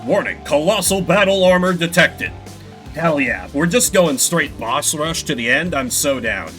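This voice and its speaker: Young male streamer, excitable commentary, animated delivery over loud game music. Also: a little high, unhurried. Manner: excitable, swears casually. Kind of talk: gameplay commentary.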